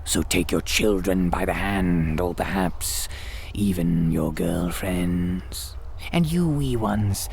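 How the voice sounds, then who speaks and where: Creepy old priest guy, low voice, super slow n formal, spooky horror host vibe, whispery bits. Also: vocal fry, a little mellow, slight background noise, heavily acted. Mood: eerie warning. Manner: slow, ominous, formal archaic phrasing, dropping into whispers. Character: sinister old graveyard priest, courtly, eerie host. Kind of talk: theatrical gothic radio drama monologue.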